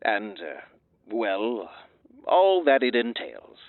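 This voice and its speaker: A man's voice: fairly low pitch, very animated, takes it slowly, dry recording, full character voice. A man playing a polite, hesitant, formal attorney in a comedy audio drama, heard through a telephone filter. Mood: apologetic, formal. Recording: tinny band-limited phone line.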